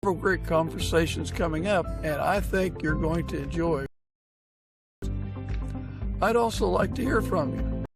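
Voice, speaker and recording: A man's voice: fairly high pitch, moderate pace, pauses often, music in the background. A man hosting a podcast, addressing his guest and listeners. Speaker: podcast host.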